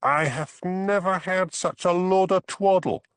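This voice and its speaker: A man voicing a gossipy Scottish village man who tells tales in an animated way, in an audiobook. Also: high-pitched, unhurried, clean tone, dry recording, voice pushed up. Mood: scornful. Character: Archie, gossipy village man, fond of a dram. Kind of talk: audiobook character dialogue.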